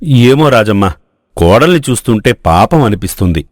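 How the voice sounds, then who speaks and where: An actor playing a mild, compassionate village father-in-law with a gentle, pitying manner in a moral story. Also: fairly low pitch, sing-song, moderate pace, no room sound, studio quality.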